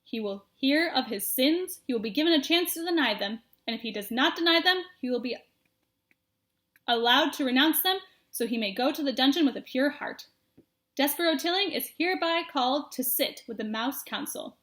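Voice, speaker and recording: A woman voicing a stern, pompous male head mouse in a storybook, declaiming slowly like an official. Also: sits high, expressive, a bit bright. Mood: pronouncing judgment.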